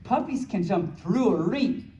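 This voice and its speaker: A playful storyteller reading picture books aloud to a small audience, with childlike, cutesy phrasing and excited asides. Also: mid-range voice, a little slow, highs cut off. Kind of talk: playful live story time with ad-libbed asides. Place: small room at a convention. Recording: echoey room from far off, faint noise.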